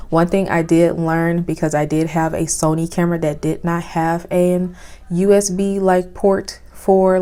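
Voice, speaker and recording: A soft-spoken young woman, chatting casually with lots of fillers as she reviews cameras at home, recorded on a phone with a clip-on mic. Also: lowish voice. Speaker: vlogger. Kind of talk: casual product review vlog. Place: home, indoors. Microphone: phone with clip-on lapel mic.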